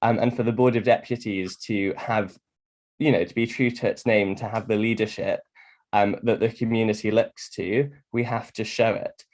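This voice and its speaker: A man's voice: on the low side, fairly even intonation, normal speed. A man giving a prepared speech to a meeting as a deputy, earnest and persuasive with some hesitancy. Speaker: community deputy speaking in a debate.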